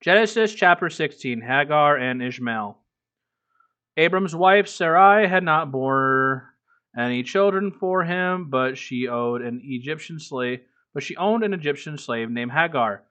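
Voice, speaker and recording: A man's voice: a little high, lively intonation, measured pace, sharp tone. A man reading a book aloud as a streamer, breaking off into casual, irreverent, swearing commentary. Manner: irreverent, profane asides.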